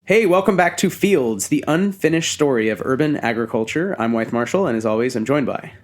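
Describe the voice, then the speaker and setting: A man's voice: mid-range voice, animated, brisk. A man hosting a podcast, moving between introductions and casual interview chat. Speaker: podcast host.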